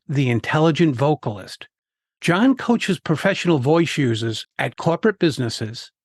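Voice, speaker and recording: A man hosting a public speaking podcast, reading a measured, clear introduction and asking his guest questions. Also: on the high side, no echo, studio quality. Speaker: podcast host. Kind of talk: scripted introduction and interview questions.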